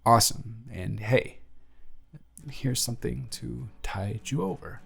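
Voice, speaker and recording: A man's voice: mid-range voice, a little slow, gravelly, a bit bright, breathy edge, faint music behind, exaggerated voice. A young man playing a friendly, smitten male barista in an intimate romantic audio roleplay, earnest, conversational and slightly flustered. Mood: playful, affectionate. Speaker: voice actor.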